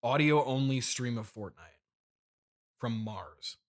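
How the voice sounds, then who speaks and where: A man's voice: medium pitch, expressive, takes it slowly. A man playing a doting, slightly unhinged trillionaire CEO father who rambles and placates, as host of an improvised comedy podcast. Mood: indulgent.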